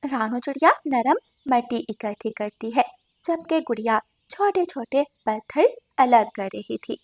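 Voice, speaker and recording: A woman's voice: on the high side, lively intonation, measured pace. A woman narrating a scripted cartoon story as the storyteller.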